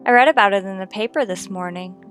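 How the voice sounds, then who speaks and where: A woman's voice: medium pitch, fast talker, a bit bright, soft background music, lightly acted. Woman playing a wistful, hesitant shop saleswoman. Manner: hesitant, subdued. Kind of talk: old-time radio detective drama acting.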